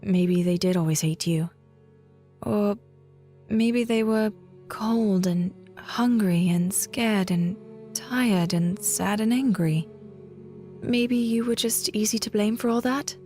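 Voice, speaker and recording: A soft-hearted travelling bard in an audio drama, played by a woman. She speaks at a middle pitch, gentle and reassuring with a practical streak. Music sits faintly behind her. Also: slow pace, lightly acted. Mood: consoling, sad.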